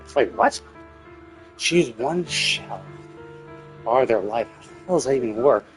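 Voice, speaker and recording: A man's voice: medium pitch, expressive, takes it slowly. A man giving casual, sweary live game commentary as a streamer, puzzling things out aloud. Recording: game music behind the voice.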